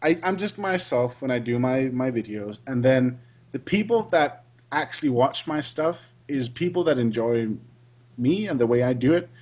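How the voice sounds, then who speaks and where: A man's voice: mid-range voice, lively intonation, normal speed, darkish tone. A man who also makes gaming videos, chatting agreeably and reflectively in a casual podcast interview. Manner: agreeable, reflective, often backing the other speaker up. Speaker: fellow gaming video maker.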